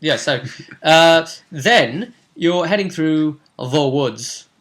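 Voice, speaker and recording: A man's voice: on the high side, animated, very slow, fairly bright tone. A man acting as game master, chattily recapping a tabletop role-playing session in an informal, rambling way. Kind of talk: casual conversational recap.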